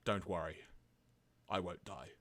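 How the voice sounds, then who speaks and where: A man voicing a stern, stoic cartoon father, gravely injured and speaking in a measured, solemn way. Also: sits low, fairly even intonation, fairly bright tone, voice pushed down.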